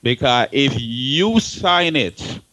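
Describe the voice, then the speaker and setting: A man's voice: medium pitch, animated, takes it slowly, pushed and bright. A man with a Jamaican accent giving informal advice on a talk show panel, emphatic and often repeating his words and phrases.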